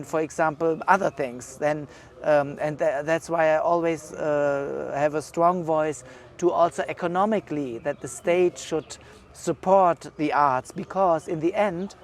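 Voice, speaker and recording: A man's voice: a little high, slight background noise. A man with a German accent, a curator answering interview questions outdoors in a thoughtful, hesitant way with many fillers. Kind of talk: casual interview. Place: outdoors at an art exhibition.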